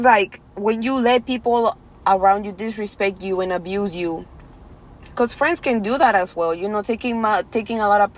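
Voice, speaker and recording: A woman's voice: mid-range voice, poor audio. Chatty woman coach in her twenties. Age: 20s. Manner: chatty, loose and reflective, many fillers. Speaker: life coach and podcast host. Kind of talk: casual group chat on a call. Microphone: video call.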